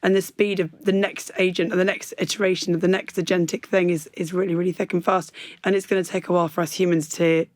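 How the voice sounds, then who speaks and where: A woman's voice: fairly low pitch, flat delivery, no room sound. Chatty woman podcast host. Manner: chatty, friendly, quick to laugh. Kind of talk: casual conversation.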